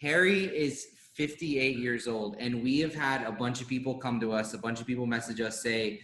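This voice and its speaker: A man in his twenties co-hosting an online interview, energetic and full of praise for his guest. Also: mid-range voice, normal speed, slight background noise. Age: 20s. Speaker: co-host interviewer. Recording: roomy echo.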